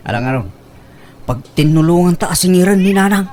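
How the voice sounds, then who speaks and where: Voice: medium pitch, animated, a little fast, darkish tone, soft background music, close to an everyday voice. An actor playing an insistent young son-in-law demanding money in comedic radio drama, with an Ilocano accent. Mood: firm, pleading.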